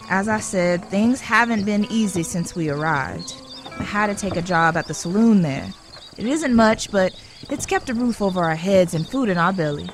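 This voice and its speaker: An actress playing a polite, playfully coy young working woman in an Old West audio drama, speaking in a genteel, measured way. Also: mid-range voice, animated, faint music behind, natural voice. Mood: earnest, matter-of-fact.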